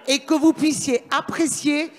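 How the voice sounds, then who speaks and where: A woman's voice: high-pitched, steady tone, unhurried. Middle-aged woman speaking in parliament. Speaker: member of parliament. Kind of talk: formal parliamentary speech, partly read from notes. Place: large parliamentary chamber. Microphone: gooseneck microphone held close.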